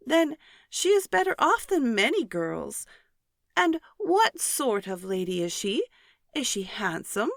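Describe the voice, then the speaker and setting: A woman playing a nosy, excitable married mother in a storybook reading, eager and inquisitive. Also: a little high, slow, vocal fry, dry recording, studio quality, voice pushed up, exaggerated voice. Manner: eager, inquisitive, swooping emphasis. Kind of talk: audiobook character reading.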